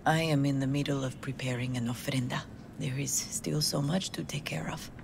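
An actress playing a grieving, dignified middle-aged mother with a Latin American Spanish accent, gently hospitable and unhurried, in a video game drama. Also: extremely low pitch, fairly even intonation, vocal fry, background noise. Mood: subdued, sorrowful. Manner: motherly, unhurried, peppers speech with Spanish endearments.

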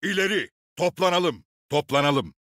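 An actor playing a gruff, middle-aged veteran commander in a video game, barking short, authoritative orders with dry swagger. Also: male voice, fairly high pitch, expressive, normal speed, a bit bright, dry recording. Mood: commanding. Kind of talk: video game voice lines, short military barks.

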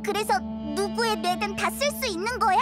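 A woman voicing a small, childlike fairy companion in an anime game, curious, excitable and earnest, with expressive, naive delivery. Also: moderate pace, soft background music. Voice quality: squeaky, childlike.

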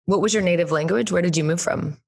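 A woman's voice: on the low side, fast, vocal fry, a little mellow, slightly rough. A woman in her thirties hosting a podcast, asking questions casually with lots of fillers, speaking into a desk mic at home. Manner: casual, affirming, many fillers. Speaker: podcast host. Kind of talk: interview questions. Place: bright home room, indoors. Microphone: desk condenser mic with headphones.

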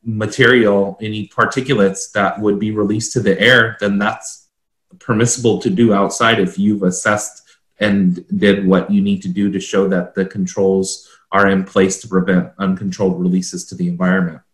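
A man's voice: on the low side, flat delivery, normal speed, a bit bright. A man around his forties explaining standards informally as a consultant on a video call. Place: home office, indoors, on a video call. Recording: roomy, echoing video-call audio.

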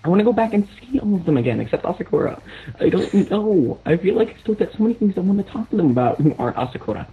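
Tinny, fast-talking sarcastic schoolboy played by a man. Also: sits high, very animated, slightly rough, exaggerated voice. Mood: complaining. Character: sarcastic, grumbling teenage anime schoolboy. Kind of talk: anime fan dub outtakes. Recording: tinny, phone-like narrow band.

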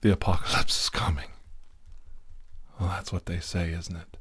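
A man doing an ASMR roleplay as a calm, commanding doctor who hunts demons, speaking slowly and deliberately with quiet menace. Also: deep voice, slightly rough, dry recording. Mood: ominous, hushed. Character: calm, commanding demon-hunting doctor interrogating a possessed patient.

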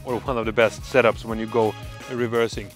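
A middle-aged Swedish man with a Swedish accent, presenting lights outdoors at night in a halting, enthusiastic salesman's way, with many fillers. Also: fairly low pitch, little pitch movement, soft background music. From Sweden. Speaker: product presenter. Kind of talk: product demonstration vlog. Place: dark countryside road at night, outdoors.

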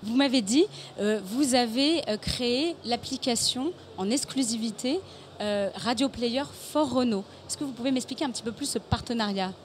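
A woman's voice: a little high, moderate pace. A woman, hosting a promotional interview on a handheld microphone. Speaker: interviewer and host. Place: exhibition studio stand at a motor show. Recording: clear background ambience noise.

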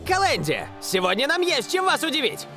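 Cartoon dub: a shrill, lively football commentator, loud score underneath. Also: male voice, a little fast, music in the background, exaggerated voice. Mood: excited. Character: excitable male match commentator. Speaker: match commentator. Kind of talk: animated sports commentary.

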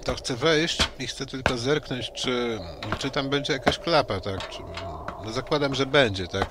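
A man's voice: medium pitch, unhurried. A man playing a tabletop game, thinking aloud hesitantly and checking details with the game master. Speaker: player. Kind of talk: casual tabletop play. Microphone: computer mic on a video call. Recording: noisy online session audio.

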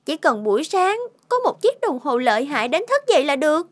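A woman's voice: sits high, very animated, a little fast, no room sound. A woman voicing a spoiled, carefree young party girl in a cartoon, whiny, dramatic and easily flustered. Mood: grumbling. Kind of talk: dubbed cartoon acting. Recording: music behind the voice.

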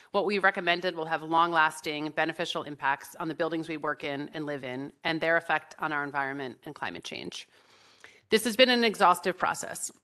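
Bright-voiced woman giving a formal statement. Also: fairly low pitch. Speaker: state housing commissioner, council member. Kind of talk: prepared public statement at a meeting. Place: indoor meeting room, on a video conference feed.

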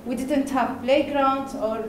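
Slow Arabic-accented woman in an echoey hall. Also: sits high, steady tone, a little hiss or hum. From Lebanon. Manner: halting, with hesitations and laughs. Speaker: conference speaker. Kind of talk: presentation talk. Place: large darkened conference hall. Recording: echoey hall, faint ambience.

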